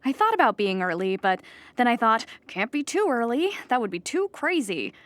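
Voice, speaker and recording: An actress playing an anxious, childlike clown who rambles earnestly in a theatrical audio comedy monologue. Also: female voice, fairly high pitch, big pitch swings, moderate pace, a bit bright, dry recording. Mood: flustered. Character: anxious, childlike clown pleading at an appeal hearing.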